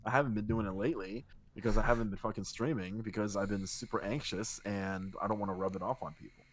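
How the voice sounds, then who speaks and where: A man co-hosting a casual podcast, talking in a relaxed, rambling way with a soothing voice and casual swearing. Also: on the low side, fast, low-quality recording. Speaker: podcast co-host and streamer. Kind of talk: casual chat.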